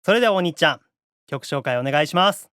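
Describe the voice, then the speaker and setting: A man's voice: on the high side, fast, clear, resonant tone, no echo, clean studio sound. A man with a Kansai accent hosting a podcast in a casual, playful, chatty way. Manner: playful, animated. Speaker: podcast host.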